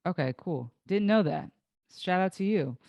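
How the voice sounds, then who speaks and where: A woman in her late twenties hosting a casual interview, friendly and encouraging with quick short replies. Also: fairly low pitch, animated, no echo, dull audio. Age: late 20s. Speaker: podcast host.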